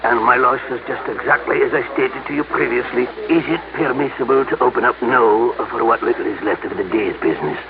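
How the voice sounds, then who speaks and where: An actor playing a fussy, flustered Scottish shop owner in a halting, excitable radio-drama style. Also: male voice, medium pitch, gravelly, a crowd in the background, natural voice. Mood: flustered, disbelieving. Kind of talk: old-time radio drama acting. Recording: tinny, noisy old radio recording.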